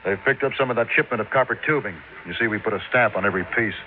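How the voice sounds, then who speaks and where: An American actor playing a brisk, businesslike male company boss in a 1950s radio drama. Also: lowish voice, lightly acted. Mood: urgent, businesslike. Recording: very noisy, tinny 1950s radio recording.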